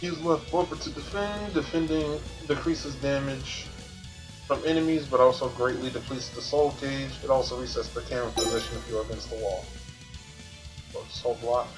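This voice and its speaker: A man's voice: mid-range voice, fairly even intonation, moderate pace, music in the background, rough recording. A man doing casual gameplay commentary as a streamer, thinking aloud and explaining things to himself. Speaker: video game streamer.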